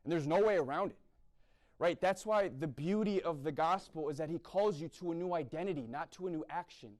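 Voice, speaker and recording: Blunt young man preaching, fairly high voice. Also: a little fast, darkish tone. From East Coast of the US. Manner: blunt, repeats "right?" often. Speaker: speaker at a university chapel event. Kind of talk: sermon-style talk.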